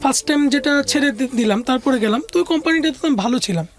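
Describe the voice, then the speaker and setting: A woman's voice: high-pitched, lively intonation, very fast. A woman with a Bangladeshi accent telling a personal life story as an interview guest, conversationally, often voicing what others said.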